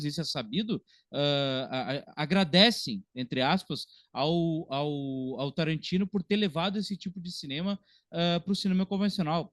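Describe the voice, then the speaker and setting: A man's voice: on the high side, dry recording, clean studio sound. A Brazilian man in his thirties hosting a podcast, talking about film in an informal, talkative, conversational way. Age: 30s. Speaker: podcast host.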